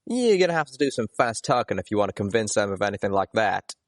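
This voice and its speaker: A man's voice: on the low side, very animated, quick pace, no echo. A loyal cowboy pony companion from a storybook , voiced by a man, folksy and teasing. The country talk rises and falls freely, recorded dry and close. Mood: determined. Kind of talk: character voice in a storybook reading.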